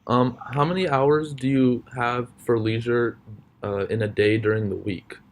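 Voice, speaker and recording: A young man conducts an interview, asking simple questions in a hesitant way and filling pauses with lots of ums. His voice sits at an ordinary middle pitch. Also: steady tone.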